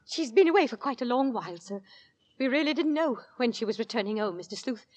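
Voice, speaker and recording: A woman's voice: fairly high pitch, expressive, fast, a little mellow. An actress playing a flustered, deferential middle-aged Victorian landlady in a 1940s radio horror drama. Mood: nervous, deferential. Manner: flustered, apologetic, servile.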